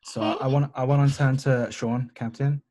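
A man's voice: medium pitch, steady tone, normal speed, mellow. A man speaking casually and in character as a player in a tabletop game, with a teasing manner.

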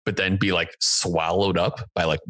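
A man's voice: sits low, flat delivery, normal speed, fairly bright tone, dry recording. A man in his thirties co-hosting a casual film review discussion, speaking into a close podcast microphone. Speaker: podcast co-host. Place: indoor home room, remote video call. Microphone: close podcast microphone with headphones.

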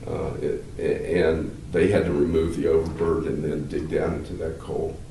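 Older male professor, mellow dark tone, halting with fillers, slightly distant mic, faint hiss. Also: rumbling low, little pitch movement, reverberant. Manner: measured, halting, many fillers and restarts; gestures with hands while talking. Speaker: law professor. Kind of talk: explanatory interview answers. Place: wood-paneled interview room, seated indoors.

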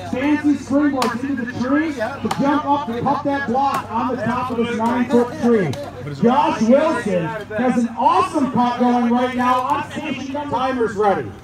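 A man giving loud, folksy, excited live sports commentary as an event announcer, hyping up the crowd. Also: very high voice. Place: outdoor festival event, heard over loudspeakers. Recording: echoey outdoor PA with crowd noise. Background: cheering crowd.

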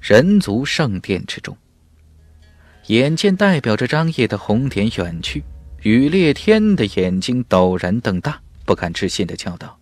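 A man's voice: medium pitch, sing-song, measured pace, a little mellow, no room sound. A man reading dramatic scripted fantasy narration as an audiobook narrator. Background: faint music.

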